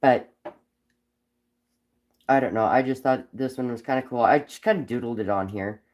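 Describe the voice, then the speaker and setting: A man with a cold and a raspy voice, chatting casually on a live stream while whittling wood, rambling with frequent ums. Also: medium pitch, normal speed, darkish tone. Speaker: livestream host whittling wood.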